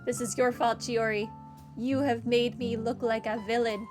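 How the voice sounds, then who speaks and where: A woman giving casual, wry, deadpan commentary while playing a video game. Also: a little high, moderate pace, music in the background. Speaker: gaming playthrough commentator.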